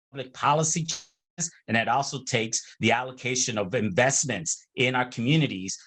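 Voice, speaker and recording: A middle-aged man from Chicago, speaking earnestly on a panel over a video call and pausing often with filler sounds. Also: medium pitch, a bit bright. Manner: earnest, many hesitations and restarts.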